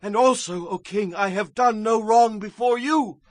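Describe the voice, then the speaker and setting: An actor playing a devout, awestruck prophet in a dramatized Bible audio production, with an intense, declamatory delivery. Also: male voice, high voice, measured pace, no room sound. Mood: fervent. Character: devout, awestruck male prophet recounting visions.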